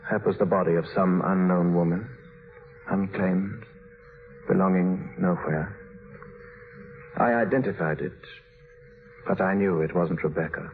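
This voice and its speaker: A man's voice: low voice, measured pace, pauses often, phone-quality sound. A man playing a tormented, guilt-burdened husband in a 1950s radio drama, grave and bitter in delivery. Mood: grim, resigned. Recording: thin, faintly noisy vintage radio recording.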